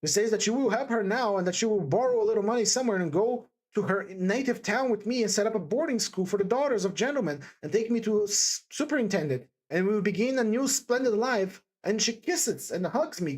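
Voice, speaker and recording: Woman reader as a anguished young woman, timid yet fervent, raised pitch, swooping intonation, halting, stammered audiobook dialogue. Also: lively intonation, a little mellow, close to an everyday voice. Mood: desperate, pleading. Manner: halting, stammering, breathless repetitions and emphatic exclamations. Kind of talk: dramatised audiobook character dialogue.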